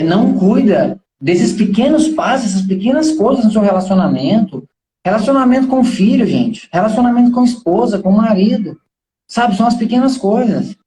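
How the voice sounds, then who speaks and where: A man's voice: high voice, mellow, roomy sound, low-quality recording. A man in his thirties with a Brazilian accent, giving an informal motivational talk in an earnest, preachy and colloquial way, often posing rhetorical questions.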